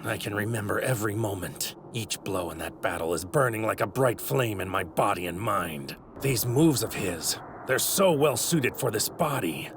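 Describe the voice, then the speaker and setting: A man's voice: on the low side, lively intonation, moderate pace, hardly any pauses, noisy background, lightly acted. A man playing an arrogant godlike villain in an English anime dub, with pompous, theatrical, formal delivery. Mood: musing, hungry. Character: arrogant godlike male villain in a stolen warrior body.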